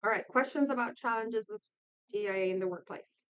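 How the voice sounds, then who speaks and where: A woman's voice: on the high side, brisk, fairly bright tone, clean tone, highs cut off. A woman from Puerto Rico giving an informal online lecture in a conversational way, often checking in with her listeners. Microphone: video call.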